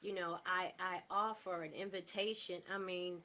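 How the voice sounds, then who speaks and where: A woman's voice: fairly low pitch, steady tone, normal speed, bright, forceful tone. A woman talking with impassioned emphasis as a guest in a panel interview, often repeating phrases to drive a point home. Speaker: stage play director, interview guest.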